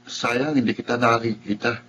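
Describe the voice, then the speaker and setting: An actor in a Filipino radio drama playing a friendly blind teenage son. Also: male voice, mid-range voice, steady tone, moderate pace, a bit bright, highs cut off, a little hiss or hum, close to an everyday voice. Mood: friendly, curious.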